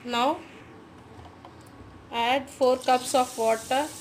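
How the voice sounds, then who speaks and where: Slow, high-voiced woman cooking. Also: fairly even intonation, a little hiss or hum. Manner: slow, step-by-step instructions. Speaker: cooking channel host. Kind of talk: recipe voice-over.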